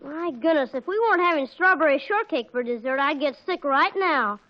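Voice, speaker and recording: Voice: high voice, lively intonation, fairly quick. A performer playing a smart-alecky young boy, a wisecracking, grumbling little brother in 1940s radio comedy style. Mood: scornful. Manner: wisecracking, grumbling, mock-sophisticated. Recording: dull old 1940s radio recording.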